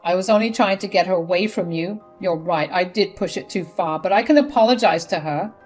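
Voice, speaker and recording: A woman voicing a desperate, scheming middle-aged mother-in-law who pleads in a high, loud voice. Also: brisk, soft background music, close to an everyday voice, rough recording. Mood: defensive.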